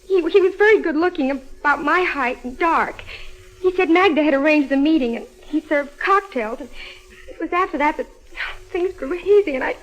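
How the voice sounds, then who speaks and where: Lady as naive nervous young woman, old radio play, super high voice, dull muffled sound. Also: moderate pace, natural voice. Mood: shaken, confiding. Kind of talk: 1950s radio drama acting.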